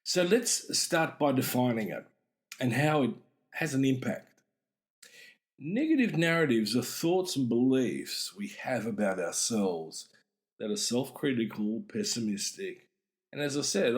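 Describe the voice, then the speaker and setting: A middle-aged man hosts an explanatory talk on psychology, speaking deliberately and with emphasis. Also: mid-range voice, very animated. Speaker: podcast host and mental health speaker.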